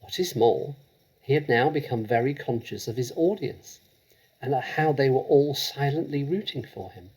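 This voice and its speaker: Male storybook reader: husky, creaky voice, expressive and dramatic narration, mid pitch. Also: moderate pace, poor audio.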